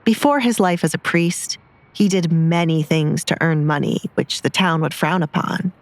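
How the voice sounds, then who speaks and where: A woman narrates a scripted drama. Her pitch is on the low side, and the sound is dry, with no room on it. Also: moderate pace, no echo.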